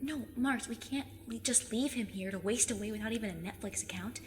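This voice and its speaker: A woman in an audio drama playing a plucky, stubborn fifteen-year-old girl who speaks emphatically and earnestly, quick to get defensive. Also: fairly high pitch, close to an everyday voice. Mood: defiant, earnest. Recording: long cave reverb, faint noise.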